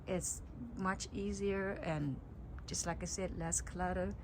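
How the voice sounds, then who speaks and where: A middle-aged woman from Cambodia giving a relaxed, chatty tour of her backyard garden, outdoors on a phone mic. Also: fairly low pitch, takes it slowly, background noise. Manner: relaxed, chatty, simple phrasing. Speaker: gardening vlogger.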